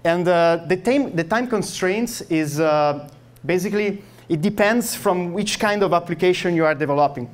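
Italian-accented man giving a tech talk. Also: sits high. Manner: halting, many fillers and restarts. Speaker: software engineer giving a meetup talk. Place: meetup room with projector, audience. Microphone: handheld microphone.

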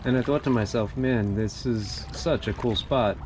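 A man narrating his kayak fishing day in a relaxed, reflective voice-over. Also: medium pitch, normal speed, slight background noise, rough recording. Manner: easygoing, reflective. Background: music.

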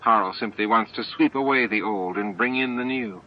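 Gentle alien female, voiced by a man, muffled tape. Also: lowish voice, moderate pace, a little hiss or hum, lightly acted. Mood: gentle approval. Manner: gentle, reassuring. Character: gentle, wise, supportive female insect-like alien. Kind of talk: dramatized audiobook reading. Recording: dull lo-fi audiobook tape with music.

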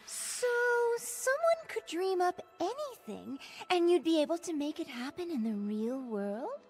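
A woman's voice: very high voice, big pitch swings, measured pace, dark tone, full character voice. A woman voicing a sly, flirtatious, greedy bat treasure hunter in a video game, coy and teasing. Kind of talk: animated video game cutscene acting. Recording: music under the voice.

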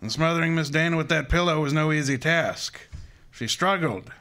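An actor playing a shrewd, commanding private detective with formal, precise diction in an old-time radio drama. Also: male voice, a little high, normal speed, bright, forceful tone. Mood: assured, explanatory. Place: live stage before an audience.

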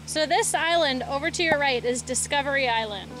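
A woman's voice: high-pitched, fairly quick, fairly bright tone, soft background music, rough recording. A woman hosting a casual, chatty travel vlog outdoors, with an upbeat, playful manner. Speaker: vlog host. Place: outdoors, mostly on a boat on a lake.

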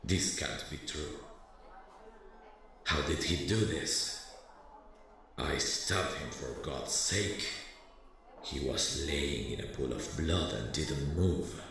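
A man playing a very deep, gravelly weary detective. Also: a bit bright, full character voice. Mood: shaken, bitter. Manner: slow, musing, muttering to himself. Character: weary, brooding male former detective thinking aloud. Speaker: ASMR roleplay voice actor. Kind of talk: ASMR audio drama inner monologue. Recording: very roomy, echoing sound.

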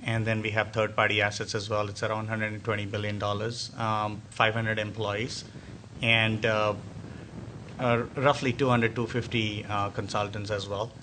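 Panel guy, low flat voice, kinda bright and forceful, lots of uh pauses. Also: a little hiss or hum, low-quality recording. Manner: measured, with frequent filler pauses. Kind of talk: self-introduction at a conference panel. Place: hotel conference room, seated at a panel table. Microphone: table microphone.

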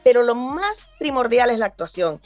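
Animated Cuban-born woman, slightly high voice. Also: moderate pace, no room sound, highs cut off, soft background music. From Cuba. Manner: animated, gestures a lot while talking. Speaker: voice actor guest. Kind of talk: remote interview, storytelling. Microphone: video call.

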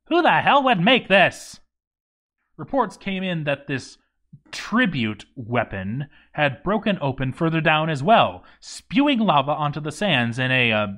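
A man giving an expressive scripted reading of a game story, with wry, deadpan asides. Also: mid-range voice. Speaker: let's-read narrator.

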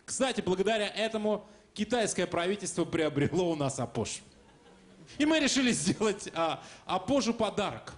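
Lively high-pitched male show host. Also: moderate pace. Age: middle-aged. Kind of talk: stage presenting with banter. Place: television studio stage with audience. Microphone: handheld microphone. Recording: stage mic with faint studio noise.

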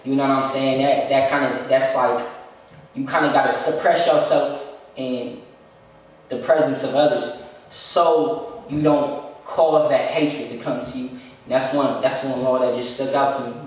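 A man's voice: mid-range voice, little pitch movement, moderate pace. A young man talking casually as an interview guest, laid-back and repeating "you know what I'm saying" as he speaks. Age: young adult. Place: room with a leather couch, indoors. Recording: echoey room from a distance.

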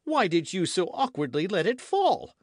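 A man voicing a proud, hot-tempered young Gascon in an audiobook, emphatic and quick to take offence. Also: sits high, huge pitch swings, darkish tone, no echo, clean studio sound, pitched above the performer's own voice, exaggerated voice. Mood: proud, defiant. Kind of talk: audiobook character acting.

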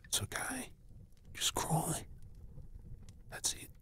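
An Australian man playing a kind, reassuring rescuer in an intimate ASMR role-play, speaking softly and soothingly. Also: natural voice. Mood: soothing, tender. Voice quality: soft, breathy. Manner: gentle, soothing, with pauses. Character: kind, reassuring male rescuer tending an injured woman.

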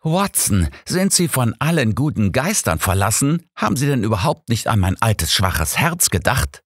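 An elderly male detective as a man reads him grumbles and lectures with self-assurance. His pitch is lifted above the narration, in a dry audiobook recording. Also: big pitch swings, normal speed, no room sound. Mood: indignant.

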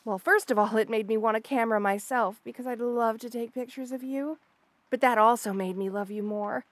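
A woman's voice: on the high side, steady tone, fairly quick, close to an everyday voice. A woman voicing an obsessive, lovestruck rattlesnake lamia in an intimate audio roleplay, gushing and hesitant with coy stammers. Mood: shyly obsessive. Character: obsessive lovestruck female rattlesnake lamia, shy yet unsettling.

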